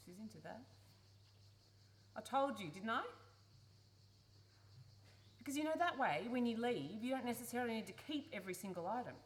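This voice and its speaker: A woman playing a pushy, organising adult daughter in a live radio play, brisk and persuasive, easily exasperated. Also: a little high, lively intonation, close to an everyday voice. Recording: noisy live theatre recording.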